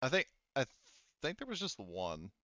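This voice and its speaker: A man's voice: mid-range voice, wildly swooping pitch, normal speed, fairly bright tone, no room sound, dull audio. A man game master narrating a tabletop session casually, in informal, sweary language. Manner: informal, profane.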